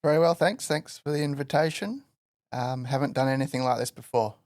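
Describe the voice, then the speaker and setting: A man's voice: mid-range voice, a little fast, no room sound, studio quality. A man from Western Australia, a guest artist telling his story in a casual podcast interview, loose and full of fillers. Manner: relaxed rambling storyteller with many fillers.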